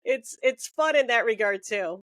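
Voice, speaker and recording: A woman's voice: on the high side, lively intonation, normal speed, a bit bright, no room sound. A woman hosting an interview, chatty and rambling, laughing often. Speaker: interview host. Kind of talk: casual interview conversation. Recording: dry close sound.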